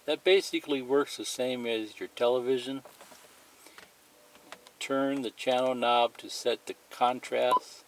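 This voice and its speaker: A man's voice: mid-range voice, steady tone, measured pace, noisy background. A man giving a plain, methodical, unscripted step-by-step demonstration of a product as a tutorial presenter.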